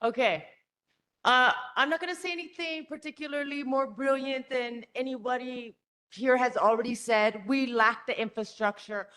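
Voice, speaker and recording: A woman testifying at a public meeting, emotional and blunt, with a naturally loud voice. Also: sits high, fairly even intonation, normal speed. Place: community meeting room.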